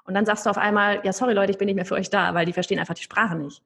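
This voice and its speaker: A young woman talking casually as a podcast guest, lively and chatty with many fillers and restarts. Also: mid-range voice, very fast. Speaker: podcast guest, online course creator.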